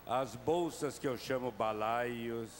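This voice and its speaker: An older bishop in his sixties leads the closing prayers and blessing of a Mass, talking at an unhurried, measured pace. His voice sits mid-range, carried by a handheld mic through a vast covered hall with a long ringing reverb and faint background hiss. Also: medium pitch. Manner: slow, measured and familiar with the crowd. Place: huge covered hall full of worshippers. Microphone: handheld microphone.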